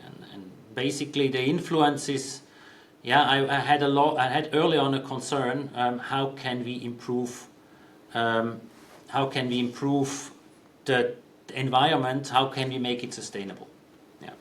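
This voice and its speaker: Man, 50s, from Switzerland; guest CEO, measured and hesitant, many restarts. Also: medium pitch, fairly even intonation, slight background noise. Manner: thoughtful, hesitant, with frequent ums and restarts. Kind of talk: conversational interview answers.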